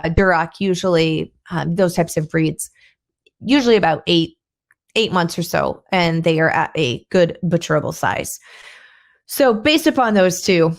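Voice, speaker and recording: A middle-aged woman with a hoarse voice hosting her own podcast, chatting in a rambling, thinking-aloud way with frequent restarts. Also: fairly low pitch. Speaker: podcast host. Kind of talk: casual solo podcast talk.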